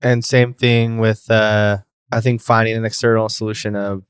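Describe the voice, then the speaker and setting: A man co-hosting a podcast, talking casually and a little haltingly as he works out his thoughts. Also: on the low side, level tone, clear, resonant tone, no room sound, clean studio sound. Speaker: podcast co-host. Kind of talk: casual conversational podcast chat.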